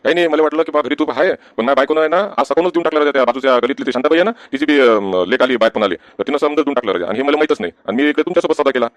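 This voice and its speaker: A man's voice: medium pitch, rapid-fire, hardly any pauses, dry recording, close to an everyday voice. A man voicing a wily, wheedling village debtor in a comic cartoon, with a rural Varhadi Marathi accent and questioning grunts tacked onto sentences. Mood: pleading, wheedling. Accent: rural Maharashtra, Varhadi. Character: wily village husband, evasive debtor sweet-talking the shopkeeper.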